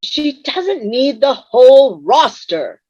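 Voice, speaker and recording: Woman acting a high-voiced, slow, dry ghost. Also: very animated, warm tone, exaggerated voice. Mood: matter-of-fact. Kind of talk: amateur table read over video call. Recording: dull lo-fi video-call audio.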